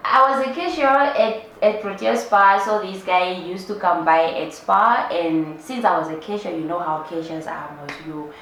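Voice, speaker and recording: A woman with a Namibian accent casually telling a personal story as a guest, animated and using local slang. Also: on the low side, moderate pace, few pauses. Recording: echoey room with background noise.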